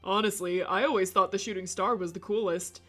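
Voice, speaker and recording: A woman voicing a friendly, easygoing young man in a story game, talking in a soft, relaxed way. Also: mid-range voice, brisk, soft background music.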